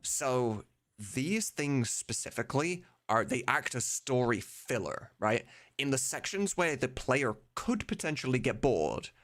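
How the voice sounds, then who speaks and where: A man's voice: mid-range voice, sing-song, measured pace, a bit bright. A man talking casually and thinking aloud on a livestream, enthusiastic and emphatic, with some mild swearing. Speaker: game mod voice director and streamer. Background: music in the background.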